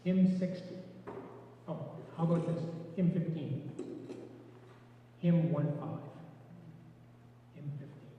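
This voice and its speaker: Soft-spoken man in an echoey hall. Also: fairly high pitch, slow pace, pauses often, a little hiss or hum. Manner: soft-spoken, relaxed, with frequent restarts. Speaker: lay reader trainer. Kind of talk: informal training talk. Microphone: room mic from a distance. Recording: echoey, distant, dull room recording.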